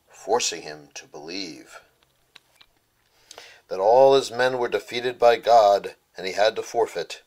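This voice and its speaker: A man reads a children's storybook as its author. His voice sits on the low side. The delivery is rhymed bedtime storytelling. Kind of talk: rhyming bedtime storybook narration.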